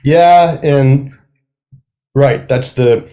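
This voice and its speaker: A man's voice: mid-range voice, very slow, mellow. A middle-aged man speaking as a guest in a casual interview, searching for his words with self-deprecating humor. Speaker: guest, playwright.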